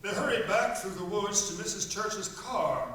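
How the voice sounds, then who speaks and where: A man's voice: high voice, lively intonation, normal speed, pushed and bright. A man narrating a children's radio play in a storybook style. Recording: echoey room, distant mic, faint noise.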